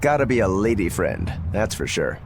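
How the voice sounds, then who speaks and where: A man's voice: lowish voice, very animated, normal speed, a little hiss or hum. An actor playing a cheeky, easygoing swordsman in a casual, wry way for a video game.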